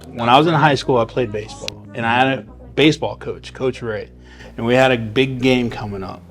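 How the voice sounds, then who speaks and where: A man's voice: medium pitch, a little fast. Man, from Texas, earnest, reflective, mid-range voice. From Houston, Texas. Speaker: substitute teacher being interviewed. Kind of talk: reflective interview answers.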